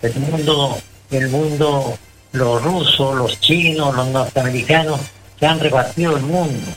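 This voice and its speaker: A Chilean man co-hosting a radio talk show. He gives rambling, opinionated commentary and keeps restarting his sentences. His voice sits at a middling pitch. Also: fairly even intonation, measured pace, fairly bright tone, soft background music, low-quality recording. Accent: Chilean Spanish. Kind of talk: casual political talk on radio.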